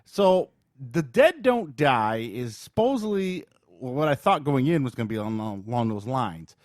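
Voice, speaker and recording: A man with a medium-pitched voice talks as a podcast co-host. He reviews in an informal, conversational way, working out his thoughts aloud. The sound is dry, with no room echo. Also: sing-song, measured pace. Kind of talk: casual chat, movie review.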